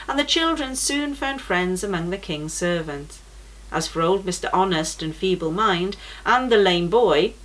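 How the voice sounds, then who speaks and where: Give me a woman reading a children's story aloud, gentle and unhurried, a bit low-pitched. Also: rough recording. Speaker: children's church story reader.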